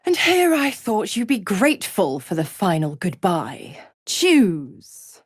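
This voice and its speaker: A woman's voice: mid-range voice, big pitch swings, takes it slowly, breathy edge, no room sound, clean studio sound, heavily acted. A woman playing a mocking, scheming female devil in a video game, theatrical, teasing and condescending. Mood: taunting, condescending. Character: seductive, mocking, scheming female devil, smugly cruel patron. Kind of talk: video game character acting.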